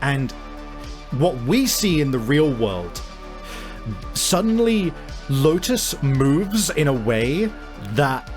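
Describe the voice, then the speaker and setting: A man narrating a tabletop roleplaying game as game master, speaking casually and haltingly, with some swearing. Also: medium pitch. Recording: music playing behind. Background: background music.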